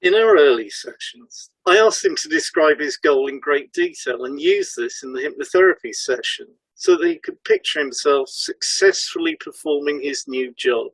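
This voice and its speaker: Gravelly, husky man in a dry recording. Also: a little high, animated, normal speed, hardly any pauses, a bit bright, no echo, low-quality recording. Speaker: podcast co-host, hypnotherapist. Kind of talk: scripted podcast dialogue, recounting a case.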